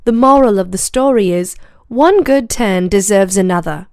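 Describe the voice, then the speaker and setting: A woman's voice: mid-range voice, moderate pace, a little mellow, no echo, studio quality. A woman reading a scripted fable for children as a storyteller.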